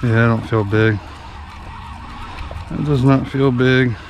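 A man hosting a fishing vlog from an open boat at sea, giving lively play-by-play as fish bite. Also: medium pitch, slow pace. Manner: excited play-by-play while reeling in fish. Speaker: fishing guide and channel host. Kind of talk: casual fishing vlog commentary. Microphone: action camera on the boat. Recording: outdoor boat noise behind the voice. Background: boat engine and water noise.

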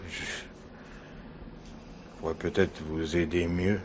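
An actor playing a drained, hungover, grieving husband, utterly exhausted, in a French radio crime drama. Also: male voice, low voice, level tone, slow pace, noisy background. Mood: weary, dazed.

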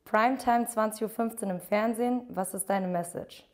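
A woman interviewer firing off quick, teasing questions in a studio. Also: mid-range voice. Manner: brisk, teasing question delivery.

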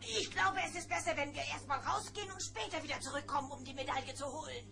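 A woman's voice: high voice, quick pace, gravelly. An actress playing a bossy, motherly and easily exasperated gummi bear in a German children's audio play. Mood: dry. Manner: scolding, emphatic. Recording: cassette audio play with music behind.

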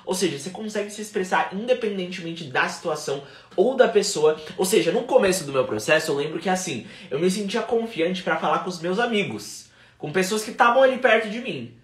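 Lively young Brazilian man teaching live. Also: high-pitched, a little fast, echoey room. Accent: Brazilian Portuguese. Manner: energetic, mixes in English phrases, gestures a lot. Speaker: English teacher. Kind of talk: livestream English lesson. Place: small room at home, indoors.

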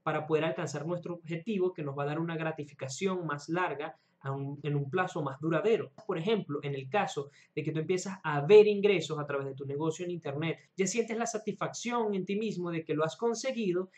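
A man's voice: fairly high pitch, fairly quick, few pauses, darkish tone. A man in his twenties talking directly to camera as an online host, explaining self-improvement advice earnestly in a small room at home. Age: 20s.

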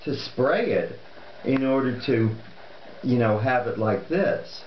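A man's voice: mid-range voice, lively intonation, takes it slowly, a little hiss or hum. An older man at a family dinner table, telling a rambling story full of okays and restarts. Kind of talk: casual chat, storytelling. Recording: lo-fi echoey room.

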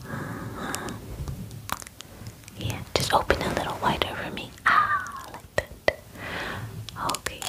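A woman in her twenties whispering gently and soothingly in an ASMR personal attention roleplay, close to the microphone. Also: rough recording. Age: 20s. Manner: gentle, soothing, reassuring, unhurried. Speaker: ASMR roleplayer playing a spa groomer. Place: small bedroom, indoors. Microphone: close microphone, near the camera.

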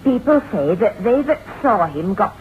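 An actress playing a gossipy, folksy country shopkeeper in an old-time radio drama, telling morbid tales with drawn-out pauses. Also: female voice, mid-range voice, sing-song, natural voice. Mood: morbid relish. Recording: muffled, hissy vintage radio recording.

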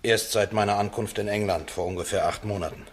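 An actor playing a defensive, down-to-earth accused man in a radio play, speaking haltingly and colloquially. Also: fairly low pitch, steady tone, close to an everyday voice. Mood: defensive, earnest.

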